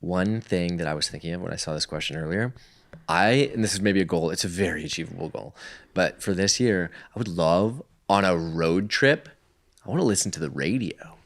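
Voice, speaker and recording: A man's voice: sits low, a little fast. A man in his thirties with a Canadian accent, chatting casually as a podcast co-host. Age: 30s. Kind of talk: casual chat.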